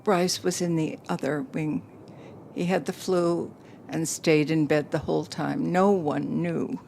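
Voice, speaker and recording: A woman's voice: low-pitched, steady tone, measured pace, vocal fry, darkish tone, slight background noise. An actress playing a self-possessed, grieving businesswoman, telling her story reflectively in an intimate audio play monologue. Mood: grief-stricken.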